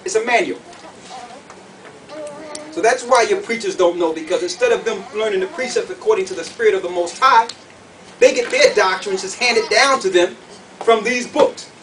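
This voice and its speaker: High-pitched man preaching, from afar. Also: moderate pace, long pauses, a bit bright, distant mic. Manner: colloquial, emphatic, repeats questions rhetorically. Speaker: preacher teaching a group. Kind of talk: animated sermon with questions to the audience. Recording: noisy room, picked up from afar.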